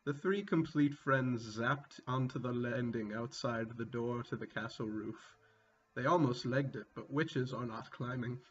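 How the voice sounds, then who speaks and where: A man's voice: medium pitch, a little slow. A man giving a comedic, mock-dramatic reading with casual sweary asides, amused and prone to breaking into laughter. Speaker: reader. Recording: dull lo-fi sound, music behind. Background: music.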